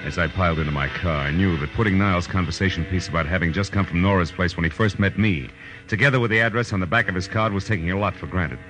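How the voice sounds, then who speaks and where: A man acting a low-voiced, hard-boiled detective. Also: fast, over loud music, natural voice. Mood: tense, grim narration. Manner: wry, hard-boiled, clipped slang. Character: tough, wry male private detective. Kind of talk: 1940s-50s radio drama acting and first-person narration. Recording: noisy vintage radio recording.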